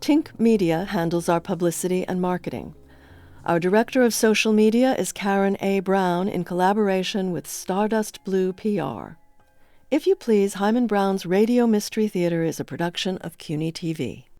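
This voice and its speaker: A woman's voice: mid-range voice, moderate pace, a little mellow, no echo. A woman announcing podcast credits in a scripted reading. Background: faint music.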